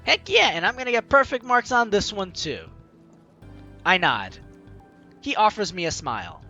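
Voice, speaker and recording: A man commentates on a game as a let's play host, switching between narration and jokey reactions. His voice is high and very lively, with faint music behind it. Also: moderate pace. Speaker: gaming video host.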